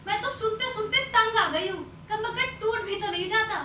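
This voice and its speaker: A fed-up daughter acted by a woman complains sarcastically in a very high, sharp voice. She is heard from a distance on an echoing stage. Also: normal speed. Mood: irritated, scornful. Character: fed-up, scornful young daughter. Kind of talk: Hindi stage play acting. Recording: echoey, distant stage recording with noise.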